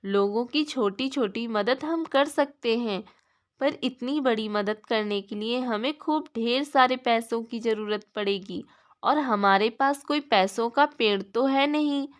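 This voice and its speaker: A gentle, sensible mother from a cartoon moral tale, voiced by a woman narrator. Her voice sits a little high, and her manner is practical and kind. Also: measured pace, close to an everyday voice. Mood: patient.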